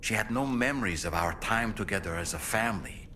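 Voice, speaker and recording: An actor playing a sorrowful father, a medium trapped inside a doll, telling his story slowly and solemnly in a video game cutscene. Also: on the low side, pushed and bright, a little hiss or hum. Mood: sorrowful, regretful. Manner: slow, solemn, reflective storytelling.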